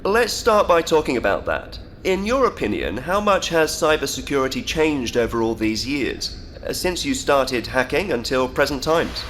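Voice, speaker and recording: Male tech-event host for audio drama: medium pitch, polite, enthusiastic, slight background noise. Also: sing-song, moderate pace, a bit bright. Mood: welcoming, enthusiastic. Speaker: conference host and interviewer. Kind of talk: scripted stage moderation in audio drama.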